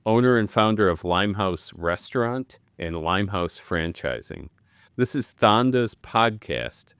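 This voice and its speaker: A man with a fairly low voice speaks as a podcast producer and interviewer. He moves between scripted introduction and questions, in a close, dry recording. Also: smooth, dry recording.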